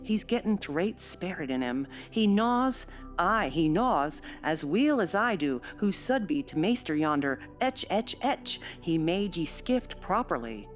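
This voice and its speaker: A woman voicing a spiteful old male servant with a crackly, croaking voice, gloating in broad dialect. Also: lowish voice, sing-song, measured pace, faint music behind.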